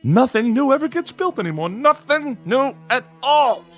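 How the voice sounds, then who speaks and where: An actor playing an anxious middle-aged small-town father in a radio drama, folksy and halting, placating his feared son with forced cheer. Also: very high voice, sing-song, faint music behind, exaggerated voice. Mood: bitter, despairing. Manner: folksy, rustic grammar.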